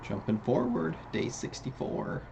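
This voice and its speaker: Slow, gravelly man doing game commentary. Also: a little high, sing-song. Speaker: gaming host. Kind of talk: let's play commentary. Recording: dull, lo-fi mic with clear noise.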